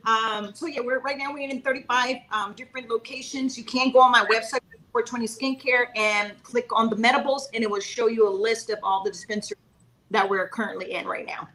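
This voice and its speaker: Woman panelist, bright and forceful tone, polite, matter-of-fact, video call. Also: a little high, fairly even intonation, brisk, rough recording. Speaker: panelist, skincare and edibles maker. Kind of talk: casual panel talk.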